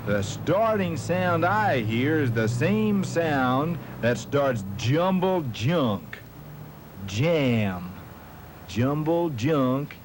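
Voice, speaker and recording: A man playing an earnest, methodical policeman on a children's educational puppet show, speaking deliberately and enunciating carefully. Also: fairly high pitch, sing-song, background noise, heavily acted. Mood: earnest, explaining.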